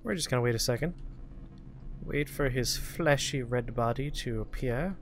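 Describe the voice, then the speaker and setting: A man's voice: mid-range voice, moderate pace, slight background noise. A man giving casual gameplay commentary as a show host, muttering wry, sweary reactions as he plays.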